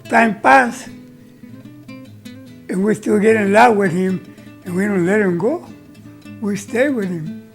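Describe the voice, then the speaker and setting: An older man with a Mexican Spanish accent speaks very slowly in simple, broken English. His voice sits at a middle height, and soft guitar plays behind him. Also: high-pitched, vocal fry. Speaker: interviewee, foster father. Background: soft guitar music.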